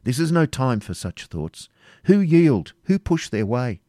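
A man's voice: mid-range voice, big pitch swings, normal speed, a little mellow, dry recording, pitched above the performer's own voice, lightly acted. Man acting an impatient, commanding wounded knight, dry sound. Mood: fierce. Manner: commanding, archaic exclamations and demands. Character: impatient wounded male knight, fierce and chivalrous. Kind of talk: dramatic audiobook character reading.

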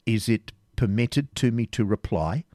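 A scheming preceptor who feigns deep humility, as a man acts him out in a storybook reading. He stammers in a high, strained register far above the reader's own voice. The sound is close and dry. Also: lively intonation, measured pace, warm tone, no echo. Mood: flustered, humble. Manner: humble, flustered, stammering.

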